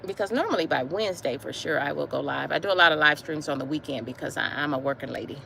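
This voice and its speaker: A woman in her late forties talks casually to her livestream viewers, often repeating her words. Her voice sits low with a gravelly edge. There is faint wind noise from her walk outdoors. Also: quick pace, bright, forceful tone, poor audio. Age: 40s. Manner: chatty, repeats phrases, addresses viewers as y'all. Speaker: livestream host.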